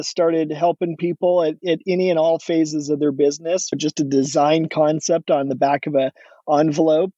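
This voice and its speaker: A middle-aged man from Oregon, a guest entrepreneur, talking casually in a relaxed, rambling way with many restarts. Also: fairly high pitch, no echo, lo-fi sound. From Oregon, USA. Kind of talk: casual interview talk.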